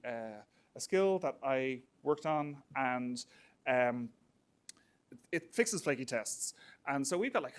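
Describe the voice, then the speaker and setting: A man's voice: mid-range voice, a bit bright, clean tone. Man giving an informal tech talk. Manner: conversational, hesitant with frequent "like" and "you know". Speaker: tech meetup speaker. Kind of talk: informal technical conference talk.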